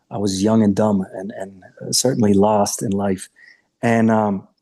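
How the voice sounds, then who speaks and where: A man in his late thirties with a low, dark voice that has a husky edge. He is from Romania and was raised in Austria. He tells his life story to a podcast host in a candid, earnest way. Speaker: podcast guest, coach. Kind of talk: casual interview chat.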